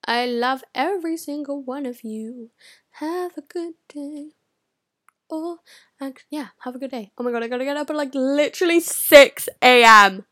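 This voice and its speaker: A woman's voice: high-pitched, normal speed, bright, forceful tone. A teenage girl, rambling casually to camera, swearing a lot and dropping into whispered asides. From United Kingdom. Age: teens. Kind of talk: casual vlog chat.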